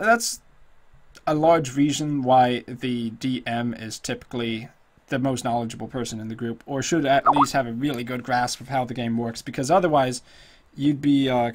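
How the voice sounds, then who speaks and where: A man with a slightly hoarse, middle-pitched voice talks through a tabletop character build at his own loose pace. He rambles and thinks aloud, full of ums and restarts. Keys clatter now and then behind him. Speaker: tutorial host. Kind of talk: casual screen-recorded game tutorial.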